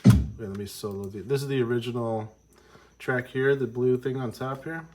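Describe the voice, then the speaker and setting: A man's voice: mid-range voice, very noisy. A man giving an informal music tutorial, thinking aloud and often interrupting himself as he explains. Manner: halting, self-interrupting, explanatory. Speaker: music teacher, video host.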